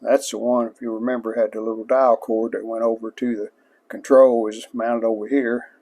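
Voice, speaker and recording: A man's voice: mid-range voice, little pitch movement, normal speed, dark tone. A man casually narrating his own hands-on restoration work as a hobbyist host, in a plain-spoken, show-and-tell way.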